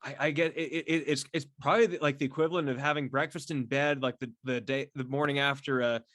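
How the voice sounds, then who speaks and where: A man chatting casually and solo as a podcast host, effusive and halting, repeating words and swearing freely as he rambles. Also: medium pitch, fairly even intonation, fairly bright tone, no echo, clean studio sound. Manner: effusive, enthusiastic fan talk. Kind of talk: casual solo chat to camera about a favorite band.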